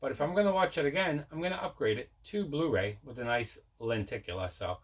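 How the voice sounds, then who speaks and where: A man's voice: medium pitch, lively intonation, a little fast. A man hosting a casual unboxing video, rambling and repeating himself as he shows off his collection. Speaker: video host showing mail and movie collection.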